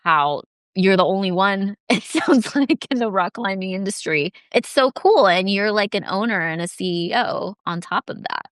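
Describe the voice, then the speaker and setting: A woman's voice: medium pitch, expressive, normal speed, clean tone, no echo, clean studio sound. A woman hosting a podcast interview, encouraging her guest with short affirmations and eager follow-up questions. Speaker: podcast host. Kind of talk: casual interview chat.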